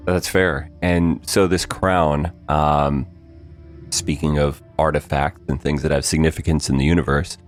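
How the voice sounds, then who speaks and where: A man co-hosting a behind-the-scenes podcast, steering the talk with measured questions and frequent fillers. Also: very deep voice, flat delivery, soft background music. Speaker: podcast co-host, interviewer. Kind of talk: casual behind-the-scenes chat.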